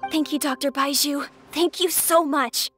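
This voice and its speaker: A woman voicing a worried, polite young girl in a game, soft-spoken and anxious. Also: high voice, steady tone, unhurried, faint music behind. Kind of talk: game voice acting.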